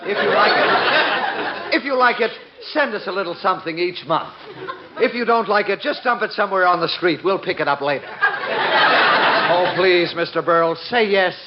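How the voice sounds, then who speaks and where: A man's voice: sits high, normal speed, over a noisy crowd, close to an everyday voice. An actor playing a desperately eager, fawning car salesman in 1940s radio comedy style, effusive and wheedling. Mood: pleading. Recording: noisy, muffled 1940s radio recording.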